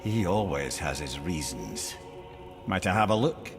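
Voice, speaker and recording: An actor voicing a wry, worldly-wise storyteller companion in a video game, with a Scottish accent and an eloquent, sardonic manner. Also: male voice, deep voice, animated, measured pace, over music. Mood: pondering. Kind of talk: dramatic video game acting.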